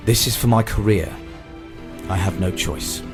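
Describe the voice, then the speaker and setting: A man's voice: lowish voice, measured pace, over music, lightly acted. A man playing a troubled hospital doctor in a radio drama, polite but menacing, with stiff formal phrasing. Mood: cold, threatening.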